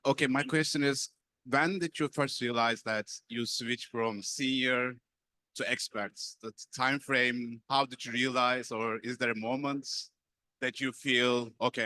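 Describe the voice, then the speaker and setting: Bright-voiced man asking a question. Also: medium pitch, fairly even intonation, a little slow, no echo. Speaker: questioner at a panel. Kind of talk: spoken question to panel.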